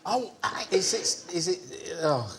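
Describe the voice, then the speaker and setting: Excitable high-voiced male panel guest. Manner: excitable, halting, repeats himself. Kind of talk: comic banter. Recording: roomy, noisy TV studio.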